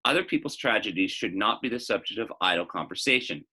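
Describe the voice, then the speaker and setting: A man's voice: fairly low pitch, animated, fast, sharp tone, slightly rough, exaggerated voice. Man reading as a reserved, melancholy preacher father. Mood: somber.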